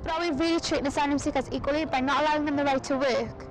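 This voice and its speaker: A young woman reads a scripted narration fast and high, asking pointed rhetorical questions. Music plays clearly under her voice. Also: fairly even intonation, rough recording. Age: young adult.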